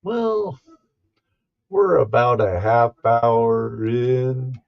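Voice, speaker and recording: A man hosting a casual livestream, chatting and telling stories in a halting, unhurried, folksy way. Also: mid-range voice, creaky, lo-fi sound. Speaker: livestream host and storyteller. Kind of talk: casual livestream chat and storytelling.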